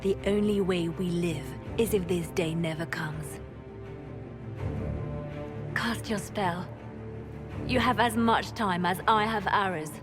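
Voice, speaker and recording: An actress playing a grim, resolute spymaster in a fantasy video game, solemn and intense. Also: female voice, on the low side, slow pace, long pauses. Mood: grim resolve. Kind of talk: video game cutscene acting. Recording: music behind the voice.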